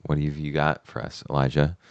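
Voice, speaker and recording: A man's voice: extremely low pitch, monotone, fairly quick, dry recording. A young man co-hosting a casual chat podcast, easygoing and guiding the talk, a little under the weather. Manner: easygoing, chuckling, steers the segments. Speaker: podcast co-host. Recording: dull lo-fi sound.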